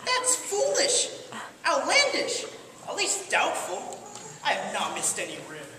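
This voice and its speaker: A woman's voice: sits high, huge pitch swings, measured pace, heavily acted. A woman on stage playing a grumpy, exasperated young Adam, speaking in an indignant, pompous, theatrical way. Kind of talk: stage play dialogue, theatrical delivery. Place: amateur stage performance in a large hall. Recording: echoey hall from far off, noisy.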